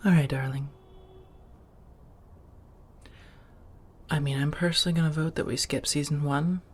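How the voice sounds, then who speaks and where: A woman playing an affectionate, teasing vampire roommate in a soft-spoken ASMR roleplay, breathy and intimate, often half-whispering. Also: low-pitched. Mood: playful. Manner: intimate, teasing, coaxing with pet names.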